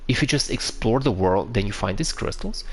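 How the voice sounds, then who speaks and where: A man's voice: on the low side, animated, normal speed, husky. A man from Romania with a Romanian accent, chatting casually on a live stream, answering viewers and explaining a game with frequent restarts.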